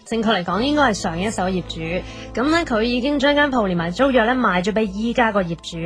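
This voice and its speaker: A woman's voice: a little high, lively intonation, fast, lightly acted. An actress with a Hong Kong Cantonese accent playing a cool, blunt and insistent young woman in a radio drama. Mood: cool, assertive. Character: assertive, cool young woman, new landlady back from abroad. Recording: music behind the voice.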